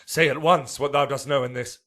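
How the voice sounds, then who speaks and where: Man as stern prince in shakespeare radio play, bright forceful voice, giving orders. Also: medium pitch, fairly even intonation, fairly quick, natural voice. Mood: stern, commanding. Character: commanding prince, stern and authoritative. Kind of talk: Shakespearean radio drama verse.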